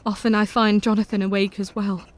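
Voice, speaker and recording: Actress as gentle genteel Victorian mother, soft-spoken. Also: medium pitch, little pitch movement, fairly quick, natural voice. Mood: wistful. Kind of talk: audio drama acting.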